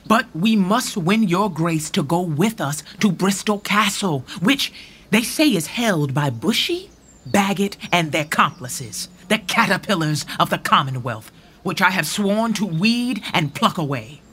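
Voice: medium pitch, lively intonation, measured pace, fairly bright tone, husky. A defiant banished duke. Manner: firm, persuasive verse delivery. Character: banished young duke claiming his inheritance, defiant. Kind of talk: Shakespearean stage acting for radio.

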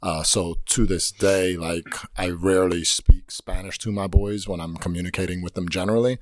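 A man, a teacher, talking thoughtfully in a casual group conversation and pausing often with fillers. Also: sits low, steady tone, no echo, clean studio sound. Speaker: teacher at a detention center.

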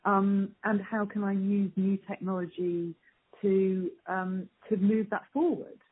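A woman's voice: medium pitch, level tone, unhurried, a little hiss or hum. A woman answering interview questions as a strategy executive, earnest and emphatic, on a poor-quality muffled recording. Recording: poor, muffled phone-like lo-fi sound.

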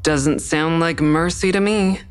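A woman's voice: deep voice, a little slow, clean tone. An actress playing a guarded, defiant woman who narrates her own crime story in a wry, sarcastic way. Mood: defensive. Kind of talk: audio drama acting with first-person narration.

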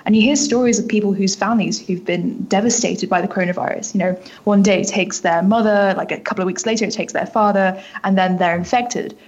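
Woman with a husky voice, casual interviewee. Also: mid-range voice, steady tone, brisk, hardly any pauses, darkish tone, highs cut off. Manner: informal, frequent "like" and "kind of". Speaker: newspaper reporter being interviewed. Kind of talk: conversational interview answers.

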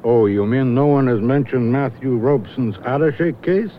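A man's voice: medium pitch, moderate pace, lightly acted. An actor playing a gossipy, knowing man with a wry, theatrical, emphatic manner in a 1970s radio drama. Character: gossipy, knowing man sizing up an oddball acquaintance. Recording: tinny, band-limited old radio recording with hiss.

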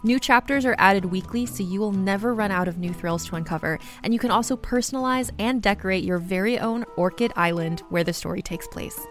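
A woman's voice: medium pitch, fairly quick, few pauses, soft background music. A woman reading a scripted promotional advertisement. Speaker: ad announcer.

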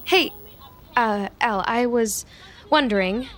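A woman's voice: a little high, takes it slowly. An actress playing an eager, awkward, chatty young woman in an audio drama, both confiding narration and dialogue. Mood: nervous, hopeful. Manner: chatty, hesitant, nervously upbeat. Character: eager, awkward, clingy young woman, human best friend of a vampire.

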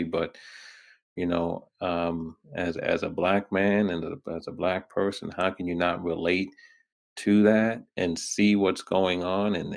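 A man in his fifties from New York hosts an interview. His very low voice is gravelly with fry, and he keeps it flat and level. He speaks in a measured, thoughtful way. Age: 50s. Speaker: host. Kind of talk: radio interview.

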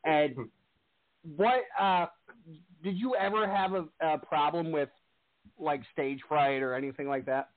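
A man's voice: a little high, measured pace, long pauses. A man hosting a casual interview with a band, who rambles and restarts his questions. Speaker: interview host. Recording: tinny phone-like sound.

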